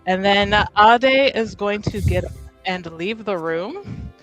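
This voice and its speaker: A woman's voice: mid-range voice, animated, slow pace, fairly bright tone, soft background music, poor audio. A woman playing a tabletop game, casually describing her character's actions and commenting.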